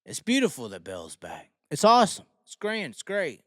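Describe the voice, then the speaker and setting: A man's voice: a little high, huge pitch swings, normal speed, no room sound, clean studio sound. A man giving opinionated racing commentary in a brash, emphatic, profanity-heavy way, repeating phrases to drive them home. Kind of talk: opinionated solo rant commentary.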